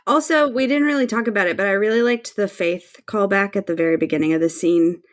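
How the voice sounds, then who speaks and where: A woman's voice: mid-range voice, fast talker. A woman co-hosting a podcast in casual chat, easygoing, blunt and informal. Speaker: podcast co-host.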